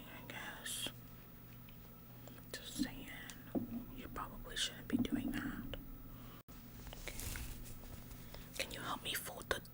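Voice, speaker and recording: A woman acting a whispering, bossy store employee. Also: natural voice. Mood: fussy, indignant. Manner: halting, repetitive, passive-aggressive nagging. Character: bossy, officious female store employee, smug tattletale. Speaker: ASMR performer. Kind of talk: whispered ASMR roleplay.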